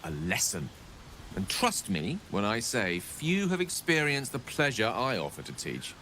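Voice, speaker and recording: A courtly, romantic game wizard. His voice sits fairly low but moves expressively, with formal, seductive eloquence. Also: huge pitch swings, a little slow, bright, forceful tone, a little hiss or hum, exaggerated voice, rough recording. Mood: tender, seductive. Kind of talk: video game character acting.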